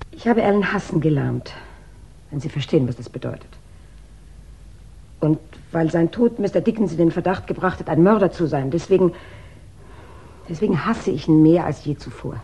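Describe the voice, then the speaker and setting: An actress playing a defensive, emotional widow in a 1960s German radio crime drama, hesitant and intense. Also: female voice, sits low, expressive, moderate pace, long pauses, dark tone, husky, full character voice, poor audio. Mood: bitter.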